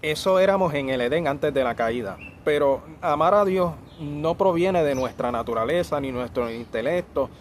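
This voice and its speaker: A man's voice: fairly high pitch, moderate pace, few pauses, slight background noise. Man teaching Bible, full of fillers. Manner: hesitant, many fillers and restarts. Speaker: preacher. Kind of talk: sermon-style Bible teaching.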